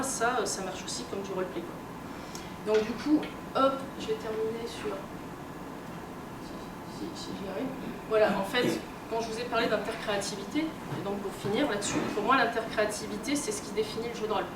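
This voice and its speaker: Woman, conference speaker, hesitant and conversational, mid pitch, recorded far off in a noisy room. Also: normal speed. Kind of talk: informal lecture to an audience. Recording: echoey room, distant mic, clear noise.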